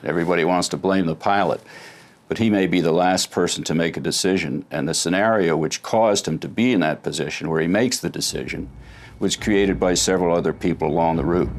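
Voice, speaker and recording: A man's voice: deep voice, a little fast. A man giving opinionated commentary as an expert interviewee.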